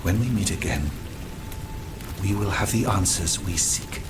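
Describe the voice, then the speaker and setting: A man playing a troubled, earnest French gentleman scientist in a video game, formal and theatrical. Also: low voice, a little slow, noisy background. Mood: grave, earnest. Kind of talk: dramatic video game cutscene acting.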